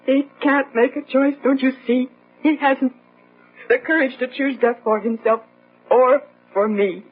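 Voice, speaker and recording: An actress playing an earnest, brave but disillusioned young daughter who speaks haltingly and questioningly in a gothic radio drama. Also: female voice, high-pitched, fairly even intonation. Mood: bitter, disillusioned. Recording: muffled old radio drama, faint music.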